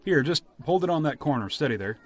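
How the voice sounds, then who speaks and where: A man's voice: fairly high pitch, animated, fast talker, lightly acted. A man playing a practical, plainspoken village official in a radio play, folksy and orderly. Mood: businesslike.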